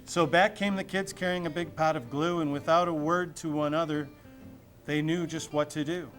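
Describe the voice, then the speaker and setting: A man's voice: a little high, fairly even intonation, moderate pace, fairly bright tone. A man reading a rhyming children's story aloud, with music playing behind him. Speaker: storybook reader.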